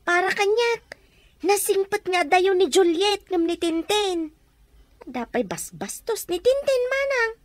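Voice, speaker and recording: An actress playing a nosy, excitable woman who gossips and needles in an Ilocano radio comedy drama. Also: extremely high pitch, unhurried, full character voice. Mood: gossipy, eager.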